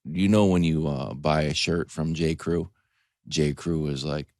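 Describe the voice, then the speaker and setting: A man in his forties, a sports talk host, telling a comedic story in a casual, animated way with choppy asides and acted-out quotes. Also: extremely low pitch, unhurried, no echo, studio quality. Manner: animated, acts out quotes in dialogue.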